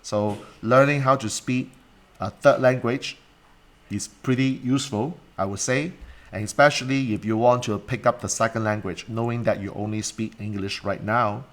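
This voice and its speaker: A man's voice: on the low side, steady tone, moderate pace. A man, a coach talking alone to viewers in a livestream, asking rhetorical questions and repeating points. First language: Cantonese. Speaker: life coach. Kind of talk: solo livestream talk.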